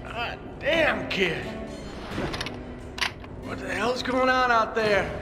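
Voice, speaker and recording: An actor playing an excitable, rowdy, battle-worn cowboy in a Western video game. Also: male voice, high-pitched, extremely slow, loud music behind the voice. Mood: weary, startled.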